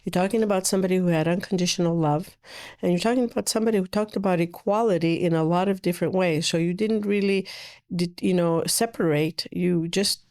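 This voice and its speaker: A woman host with a somewhat low voice who listens closely. She reflects back and sums up what her guest says in an affirming way. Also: fast, darkish tone. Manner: affirming, summarizes the guest's points. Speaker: podcast host. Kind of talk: interview host.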